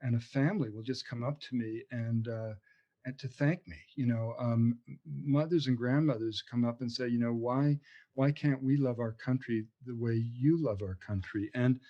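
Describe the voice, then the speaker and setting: A man's voice: medium pitch, steady tone, normal speed, dull audio. A man, an author and anthropologist, answering interview questions in a passionate, discursive way, often restarting his sentences.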